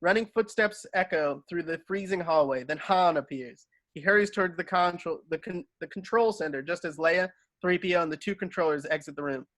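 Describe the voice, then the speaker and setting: A man with a slightly high voice narrates a screenplay. He reads scene headings and action lines in a scripted table-read style. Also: brisk, fairly bright tone. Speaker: narrator reading stage directions.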